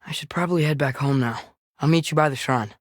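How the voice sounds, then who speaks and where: A man's voice: on the high side, fast talker, no room sound, studio quality, close to an everyday voice. A young male actor playing an earnest, curious, impulsive teenage boy in a Norse myth video game, speaking naturally with a hesitant, boyish manner. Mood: gentle, awkward.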